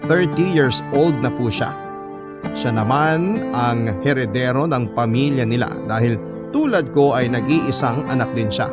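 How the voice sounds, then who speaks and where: A man's voice: medium pitch, measured pace. A man narrating a first-person story in a radio drama. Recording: loud music bed behind the voice.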